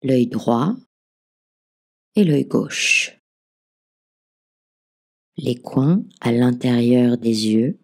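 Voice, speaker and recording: Slow-spoken woman guiding a meditation. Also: extremely low pitch, lively intonation. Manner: gentle, soothing, measured instructions. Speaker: guided meditation teacher, channel host. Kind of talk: guided yoga nidra relaxation narration.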